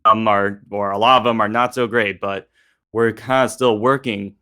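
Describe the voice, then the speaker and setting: A man with a lowish but bright, forward voice hosts a casual discussion. He meanders toward his questions, rewording them as he goes. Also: fairly even intonation, moderate pace, smooth. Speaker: discussion host.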